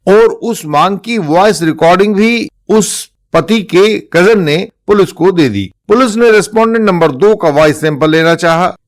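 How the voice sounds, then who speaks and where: Indian male legal explainer, slightly raised pitch, measured formal delivery. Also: expressive. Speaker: presenter explaining court judgments.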